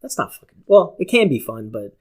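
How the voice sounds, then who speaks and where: Man, podcast co-host, medium pitch, casual banter, slangy, sweary. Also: very animated, fairly quick, darkish tone. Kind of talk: casual chat, reacting to co-host.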